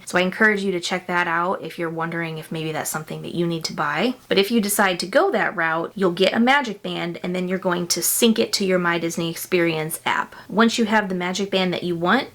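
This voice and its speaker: A woman talking viewers through trip planning steps in a chatty, direct way, her voice starting to crack. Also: fairly low pitch. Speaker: travel planning vlogger. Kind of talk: casual how-to explainer.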